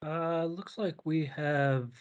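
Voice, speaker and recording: A man co-hosting a community video call, answering in a low-key, hesitant way. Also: fairly even intonation, slow, clear, resonant tone, highs cut off. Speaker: meeting co-host.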